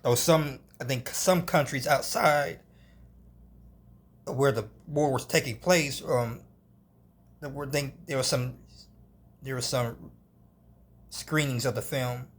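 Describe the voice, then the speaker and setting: A man giving an unscripted film review, halting, often restarting and hedging as he goes. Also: mid-range voice.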